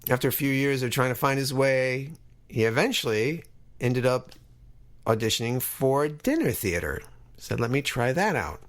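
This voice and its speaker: A man's voice: mid-range voice, lively intonation, moderate pace. A man of fifty hosting a podcast in a casual, conversational style, talking directly to his listeners as he introduces things. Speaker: podcast host.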